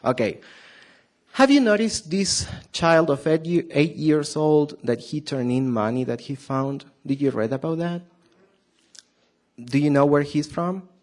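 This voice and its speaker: A man's voice: fairly high pitch, animated, unhurried. A man from Argentina with a strong Argentine Spanish accent, preaching a sermon to his church, hesitant with many fillers and questions to the audience. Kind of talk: sermon to a congregation.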